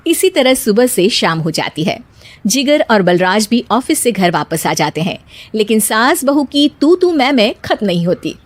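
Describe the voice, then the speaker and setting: A woman narrating a cartoon story in a brisk, scripted way. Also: fairly high pitch, very animated, dry recording.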